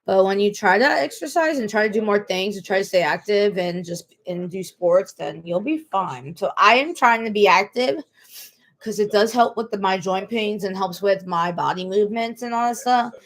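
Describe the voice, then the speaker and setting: A woman in her late twenties talks casually to the camera in a personal vlog. She rambles and circles back, repeats the same points and restarts her sentences. Her pitch sits in the middle range. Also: normal speed. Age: 20s. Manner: rambling, repeats herself, many fillers and restarts. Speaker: vlogger.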